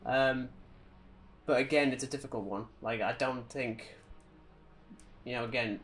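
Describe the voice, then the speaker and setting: A man's voice: mid-range voice, a little slow, a bit bright. A man co-hosting a podcast, chatting casually about films and games in a blunt, opinionated way. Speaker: podcast co-host. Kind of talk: casual film and game chat.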